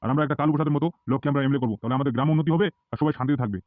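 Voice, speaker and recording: A man's voice: mid-range voice, very fast, noisy background, close to an everyday voice. A man voicing a worried, grumbling village neighbour in an amateur cartoon, with a tinny phone-like sound.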